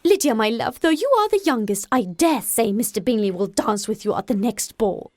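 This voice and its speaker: Audiobook mother role, woman performing: middle-aged, high and excitable, theatrically fretful and gushing. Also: normal speed, no room sound. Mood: gushing delight. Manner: fretful, effusive, dramatic. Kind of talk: audiobook character acting.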